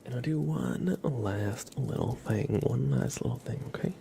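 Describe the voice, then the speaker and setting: A man hosting an ASMR livestream, chatting to viewers in a hushed, gentle, often whispered manner. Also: medium pitch, lively intonation, measured pace, gravelly, background noise. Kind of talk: ASMR, soft-spoken livestream chat.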